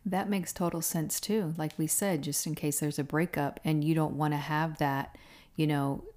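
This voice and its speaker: Low-voiced middle-aged woman co-host. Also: fairly even intonation, moderate pace. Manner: warmly agreeable, short affirming replies. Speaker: podcast co-host. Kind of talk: casual conversational podcast.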